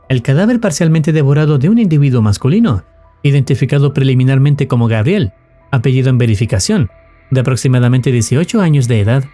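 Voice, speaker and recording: A man's voice: mid-range voice, warm tone. A man delivering formal, dramatic scripted narration that recaps an analog horror story.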